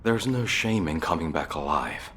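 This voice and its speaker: An actor playing a calm, consoling comrade in a dramatic video game, with gentle, measured delivery. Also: male voice, extremely low pitch, a little hiss or hum. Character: calm, consoling male comrade tending a patient.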